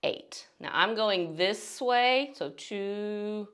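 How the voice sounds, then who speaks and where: A woman's voice: medium pitch, animated, very slow, fairly bright tone. A woman teaching a beginner dance tutorial step by step, encouraging and counting beats aloud between instructions.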